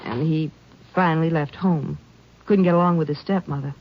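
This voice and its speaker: A woman's voice: sits low, normal speed. A woman acting a young small-town telephone operator in an old radio drama, polite, precise and forthcoming, with an American accent. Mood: helpful. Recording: muffled vintage radio recording.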